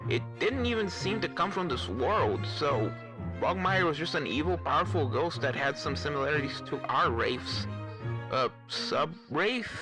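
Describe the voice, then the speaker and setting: A man's voice: on the high side, lively intonation, unhurried, over music, poor audio. A man voicing a caring, learned pony professor in a fan fiction reading, earnest and given to explaining. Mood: thoughtful. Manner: earnest, explanatory, stammers when flustered.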